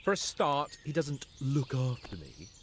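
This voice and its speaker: A man's voice: medium pitch, very animated, unhurried, a little hiss or hum. An actor playing an imperious, teasing young nobleman with a British accent in a video game cutscene. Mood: haughty, matter-of-fact. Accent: British English. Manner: imperious, mocking, aristocratic. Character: haughty, teasing young nobleman, not yet of age.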